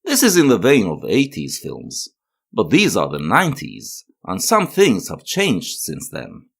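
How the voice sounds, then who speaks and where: Low-voiced man narrating with very lively pitch. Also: a little slow, vocal fry, breathy edge. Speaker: host of a video essay series. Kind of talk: scripted analytical narration.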